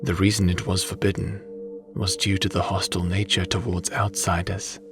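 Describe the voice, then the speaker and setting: A man playing a calm, matter-of-fact advisor in a fantasy audio drama, speaking formally and without much emotion. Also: deep voice, music in the background. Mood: explanatory. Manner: measured, formal, unemotional.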